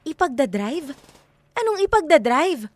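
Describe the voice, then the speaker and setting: A demanding young Filipino girlfriend in a radio drama. Her voice is high and very animated, with a pointed, exasperated way of challenging her partner. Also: a little slow, dry recording. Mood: irritated, confronting.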